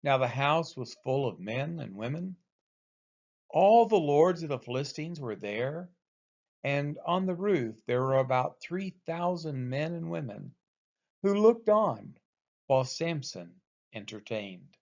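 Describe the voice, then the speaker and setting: A man reading scripture aloud as expressive narration, with deliberate, dramatic emphasis on quoted speech. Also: fairly high pitch, gravelly, highs cut off. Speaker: scripture reader.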